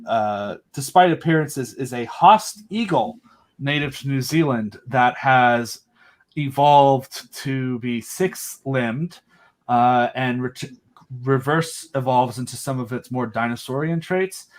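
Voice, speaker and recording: A man's voice: medium pitch, slow pace. A man as an interview guest, eagerly explaining his own creative project in casual, rambling chat. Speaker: guest, creator of an audio drama.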